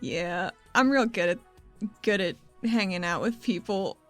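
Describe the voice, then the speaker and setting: A woman's voice: medium pitch, measured pace, fairly bright tone, faint music behind, natural voice. A woman playing a playful, self-deprecating young woman in a tabletop game, casual and teasing.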